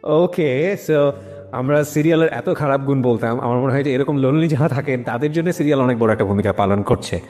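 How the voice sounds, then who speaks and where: A man's voice: medium pitch, brisk, a little mellow. A man with a Bangladeshi accent hosting a conversational interview, slipping English phrases into his speech. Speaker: radio host interviewing a guest. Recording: long hall reverb, music underneath.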